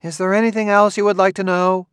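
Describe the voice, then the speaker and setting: A man reading an audiobook, voicing a brisk, matter-of-fact woman who runs a children's farm, easily exasperated. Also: high-pitched, level tone, fairly bright tone, clean tone, no echo, clean studio sound, voice pushed up. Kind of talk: audiobook character voice.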